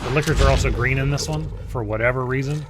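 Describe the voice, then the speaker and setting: A man's voice: medium pitch, fast, very noisy. A man co-hosting a gaming show, explaining and critiquing film trailers in a blunt, informal way. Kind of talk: casual explanatory trailer commentary.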